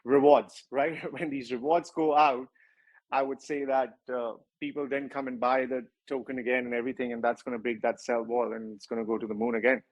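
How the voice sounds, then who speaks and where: A man's voice: medium pitch, level tone, fairly quick, rough recording. A man with a strong Indian accent, a project founder answering questions in a livestreamed interview, talking at length and often correcting himself. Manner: rambling, self-correcting, with many filler words.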